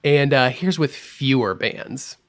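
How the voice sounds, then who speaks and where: A man teaching music production in a screen-recorded tutorial, explaining each step clearly and with upbeat energy. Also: mid-range voice, slow.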